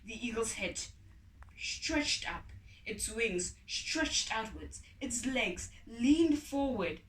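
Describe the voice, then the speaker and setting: A woman reading a storybook expressively, with deliberate, dramatic phrasing, as a storyteller. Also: a little high, expressive, a bit bright, a little hiss or hum. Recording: echoey room, distant from mic.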